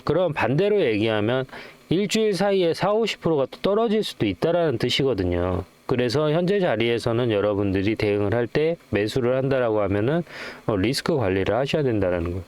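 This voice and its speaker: Middle-aged man, stock channel host, lively varied pitch, dry recording, informal explanatory delivery, rhetorical questions. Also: a little fast, few pauses, clear, resonant tone, dry recording. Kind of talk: informal market commentary and chart explanation.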